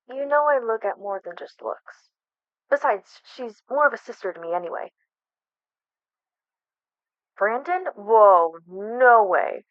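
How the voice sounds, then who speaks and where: A woman's voice: a little high, dry recording, muffled recording. A young woman acting in an ASMR roleplay as a flustered best friend hiding a crush, speaking casually with hesitant stammers. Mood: flustered, dismissive. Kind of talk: ASMR roleplay, one-sided conversational acting.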